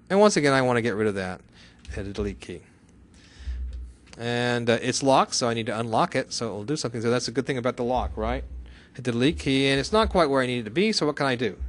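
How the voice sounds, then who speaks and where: A man giving a live software tutorial to a class, chatty, often asking questions and answering them himself. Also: mid-range voice. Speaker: software instructor.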